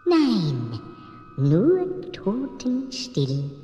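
A woman's voice: medium pitch, wildly swooping pitch, very slow, warm tone, soft background music, exaggerated voice. A woman voicing a mysterious, soothing dream goblin in a children's radio play, in an echoing hall effect.

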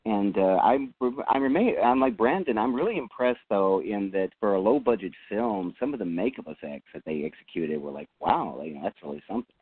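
A fairly low-voiced man sits on a casual panel and talks haltingly, stopping often to restart his sentences. The sound is dry and close, and it is squeezed thin like a phone call. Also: animated, slightly rough, no room sound. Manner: hesitant, full of uhs and restarts. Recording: dry tinny phone-line audio.

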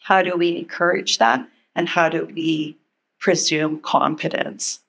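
An older woman and former educator giving an earnest, measured comment at a panel, speaking into a handheld mic in a large meeting room. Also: fairly low pitch, fairly even intonation, vocal fry, fairly bright tone, breathy edge. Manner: earnest, measured, thinking aloud.

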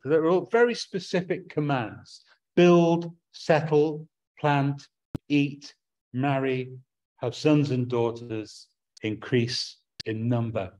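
A man's voice: mid-range voice, a little mellow, low-quality recording. A middle-aged man with an English accent preaching a sermon from a small room at home, earnest and prone to restarting his words.

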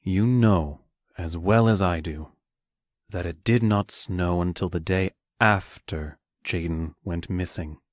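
An actor playing a stern schoolteacher in an audio play, speaking in a firm, measured way. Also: male voice, sits low, no echo, clean studio sound.

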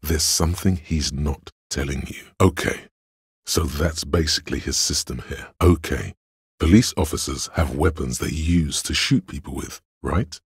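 An actor playing a calm, analytical inner voice of logic in a video game, gravelly and husky, speaking in a dry, measured, knowing way. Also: male voice, rumbling low, no echo, full character voice. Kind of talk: video game voice-over narration.

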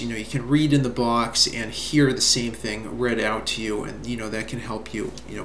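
Gravelly-voiced man in an echoey room. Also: medium pitch. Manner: relaxed, many fillers like "you know". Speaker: reviewer demonstrating software. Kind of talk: casual screen-recorded review. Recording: echoey room with faint noise.

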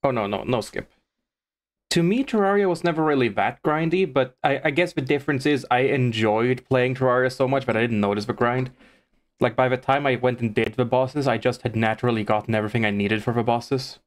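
A man's voice: mid-range voice, fairly quick. A man chatting casually as a live streamer while he comments on the game he is playing.

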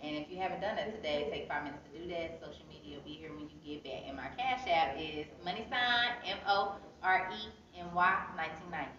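A woman with an American accent chatting informally as an interview guest, telling stories in a casual, animated way. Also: low-pitched, fast talker, few pauses. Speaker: interview guest, poet and author. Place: indoor arts center room, seated. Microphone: phone camera a few metres away. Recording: echoey room, distant muffled phone-camera sound, chatter.

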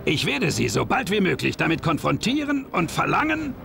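A man's voice: on the high side, wildly swooping pitch, normal speed, vocal fry, noisy background, full character voice. A man voicing a bumbling, boastful alien villain in a game cutscene, blustering and stumbling over his words. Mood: suspicious, scheming. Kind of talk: animated game cutscene acting. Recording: music behind.

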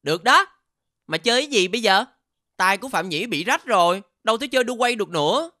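A woman voicing a blunt child playmate with a brisk, reproachful manner in an animated fairy tale. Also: mid-range voice, dry recording, clean studio sound. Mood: curious.

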